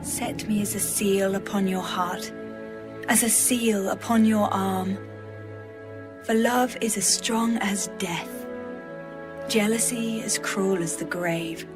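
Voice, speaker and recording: Slow-speaking woman acting a tender young bride, music behind. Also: medium pitch, fairly even intonation, natural voice. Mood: fervent. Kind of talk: dramatised audio Bible reading.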